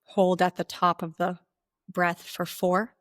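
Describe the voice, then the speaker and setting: A woman leading a guided meditation as its guide, speaking in slow, deliberate instructional phrases with pauses. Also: on the low side, level tone, a bit bright, no room sound, clean studio sound.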